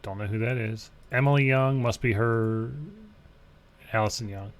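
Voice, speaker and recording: A man's voice: on the low side, fairly even intonation, normal speed. A man co-hosting a gaming show, talking informally and loosely as he chats about old trailers. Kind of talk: casual chat, reacting to old film trailers.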